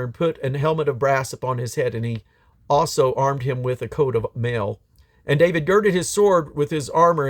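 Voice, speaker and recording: Man reading scripture aloud with solemn emphasis. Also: fairly high pitch. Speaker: Bible reader.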